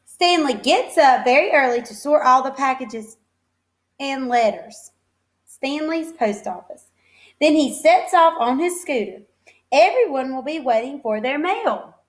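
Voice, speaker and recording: High-voiced woman reading aloud to children. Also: measured pace. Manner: simple child-directed phrasing, expressive, encouraging. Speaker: teacher reading a picture book to young children. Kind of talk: read-aloud storytelling with explanatory asides and questions to children.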